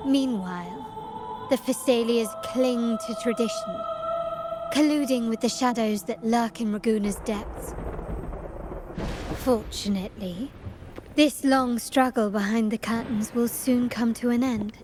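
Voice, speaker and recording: A poised, enigmatic woman, as an actress plays her who speaks in a measured, elegant way with a teasing edge and a slightly high voice. Game score plays clearly beneath her lines. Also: lightly acted. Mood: reflective. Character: poised, enigmatic adult woman, knowing and cryptic. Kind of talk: game cutscene voice acting. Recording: music score behind.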